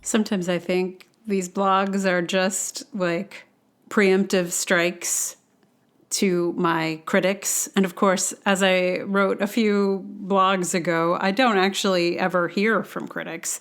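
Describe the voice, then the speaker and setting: Woman, medium pitch, blogcast host, personal essay reading, conversational, wry, self-deprecating. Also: flat delivery, slow. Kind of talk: reading a personal essay aloud with casual asides.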